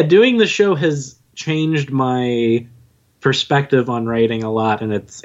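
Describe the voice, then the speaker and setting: A man's voice: mid-range voice, darkish tone, dull audio. A man in his twenties chatting casually as an interview guest, modest and informal in how he talks. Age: 20s. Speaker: podcast guest, audio drama writer and narrator.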